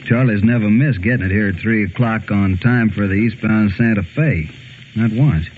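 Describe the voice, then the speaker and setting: A man with an American accent playing a gruff, blunt man of the Old West in a 1950s radio western. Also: on the low side, moderate pace, lightly acted. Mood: worried. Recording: tinny noisy 1950s radio recording.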